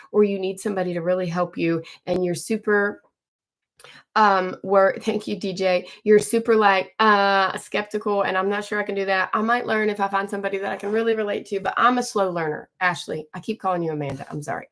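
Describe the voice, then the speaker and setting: A woman in her forties hosting a casual live stream from home, chatting with viewers, greeting them by name and often clearing her throat. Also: mid-range voice, bright, forceful tone. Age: 40s. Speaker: livestream host.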